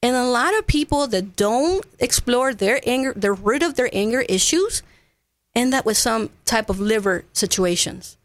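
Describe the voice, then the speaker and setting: A woman's voice: fairly high pitch, animated, normal speed, dry recording. Earnest woman from Ecuador explaining, dry sound. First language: Spanish. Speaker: guest, massage therapist. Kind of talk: conversational interview, explaining.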